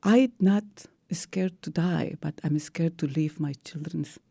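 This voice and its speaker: Peruvian-accented woman, low gravelly voice. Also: takes it slowly, warm tone, dry recording. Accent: Peruvian Spanish. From Peru. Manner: earnest storytelling with non-native English phrasing. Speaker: guest. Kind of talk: radio interview.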